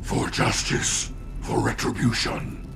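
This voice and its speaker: An actor voicing a grim, vengeful demonic spirit in a game, solemn and ominous, with a hollow rasping voice. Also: male voice, slow, loud music behind the voice, close to an everyday voice. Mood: stern, accusing. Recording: loud rumbling noise over voice.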